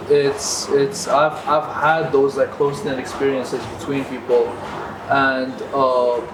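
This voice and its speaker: A man's voice: medium pitch, background noise. Guy from iran, lots of uh and you know, kinda far off mic, echoey. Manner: hesitant, many fillers and restarts. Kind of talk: casual conversation. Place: cafe.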